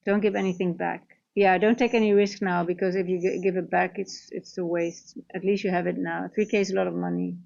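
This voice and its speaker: A woman's voice: fairly low pitch, little pitch movement, a little fast, vocal fry, hardly any pauses, a little mellow, dull audio. A tired woman from the Netherlands with a Dutch accent, chatting casually through a live trading stream and answering viewers.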